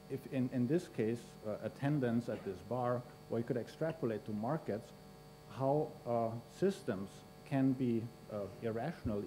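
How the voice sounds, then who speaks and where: A man's voice: medium pitch, mellow, a little hiss or hum. A man, introducing a speaker at a lectern in a university hall, with many fillers. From Austria. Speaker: conference host introducing a speaker. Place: large university lecture hall. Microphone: lectern microphone.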